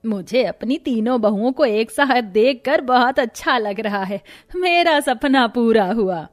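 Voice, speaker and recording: A woman acts out a stern matriarch of a cartoon household. Her voice sits somewhat high, and she speaks with the commanding, scolding manner of a middle-aged mother-in-law. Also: animated, unhurried, soft background music. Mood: proud, affectionate. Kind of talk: Hindi cartoon family story voice acting.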